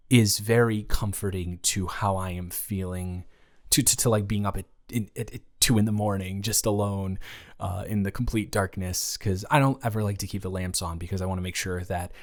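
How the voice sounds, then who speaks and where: A man's voice: fairly low pitch, natural voice. A man playing a caring, awkward young roommate in an improvised intimate audio roleplay, speaking casually with rambling, stammering, reassuring hesitation.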